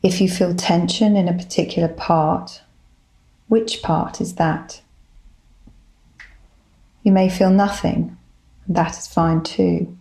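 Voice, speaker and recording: A woman leading a guided body scan meditation, giving gentle, soothing instructions in a calm manner. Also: lowish voice, takes it slowly, creaky, pauses often, rough recording. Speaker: psychologist, guided meditation leader.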